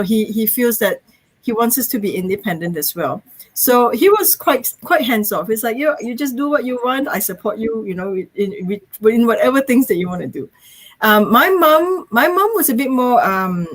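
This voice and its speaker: A woman in her thirties from Malaysia, a guest on a video-call interview, talking casually with frequent ums and laughs. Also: mid-range voice.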